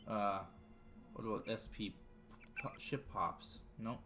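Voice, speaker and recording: A man's voice: lowish voice, steady tone, takes it slowly, over music. A man giving casual, wry commentary as he plays a video game, often muttering to himself. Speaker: let's play video game host.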